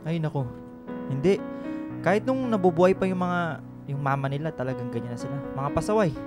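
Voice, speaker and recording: A man's voice: fairly high pitch, lively intonation, brisk, over music, natural voice. A man playing a flirty, world-weary middle-aged boss who likes to joke, in a radio drama. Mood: weary, complaining.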